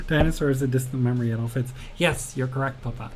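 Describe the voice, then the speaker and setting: A friendly man hosting a livestream, talking loosely with plenty of ums and uhs, sometimes laughing as he reads out questions from chat. His voice sits at an ordinary middle pitch. Soft music plays faintly behind him. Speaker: game jam stream host. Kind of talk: casual livestream chat answering viewer chat.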